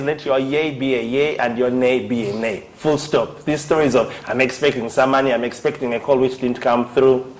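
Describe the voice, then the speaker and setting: A man presents a scripted TV segment. He talks at a medium pitch and sounds emphatic and animated, scolding his viewers as he goes.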